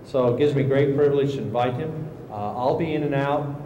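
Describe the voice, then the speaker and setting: A man hosting an event, introducing the guest speaker in a relaxed, chatty way. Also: mid-range voice, brisk, muffled recording, a little hiss or hum. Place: lecture room at a convention. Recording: roomy hall sound with long reverb.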